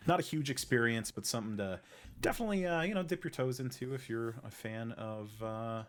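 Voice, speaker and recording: A man's voice: medium pitch, a little fast. Male podcast host, mid-range voice, informal and joking, running a casual gaming chat. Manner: informal, joking, prompts the others.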